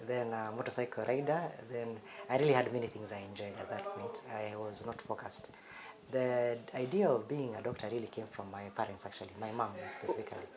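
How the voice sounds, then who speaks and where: A Kenyan man in his fifties, a cardiologist telling his life story in a relaxed, reflective interview. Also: mid-range voice, noisy background. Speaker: cardiologist guest. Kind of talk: conversational interview.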